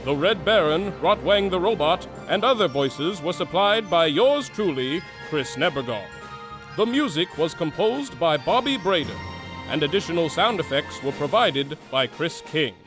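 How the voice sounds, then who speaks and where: A man's voice: a little high, lively intonation, normal speed, music in the background. A man announcing an old-time radio adventure serial in a theatrical, emphatic style.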